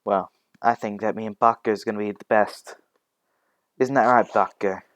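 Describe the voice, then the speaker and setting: A man voicing a gentle, eager kindergarten boy full of admiration in a retold anime story. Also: fairly low pitch, fairly even intonation, moderate pace, dry recording, close to an everyday voice. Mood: hopeful.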